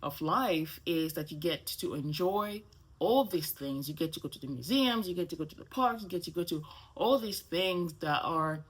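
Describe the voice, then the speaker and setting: Husky-voiced woman with a low, chatty delivery. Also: expressive, normal speed. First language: French. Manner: chatty, with frequent "okay?" and "right?" check-ins. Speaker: vlogger. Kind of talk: casual talk to camera.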